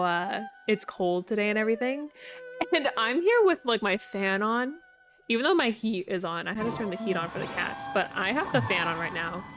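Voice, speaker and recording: A woman hosts a casual gaming livestream with music clearly playing behind her. Her medium-pitched voice swings around in a lively way. She talks playfully and pokes fun at herself as she chats to viewers. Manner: playful, self-deprecating, animated. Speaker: livestreamer, VTuber. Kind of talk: casual gaming stream chat. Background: background music.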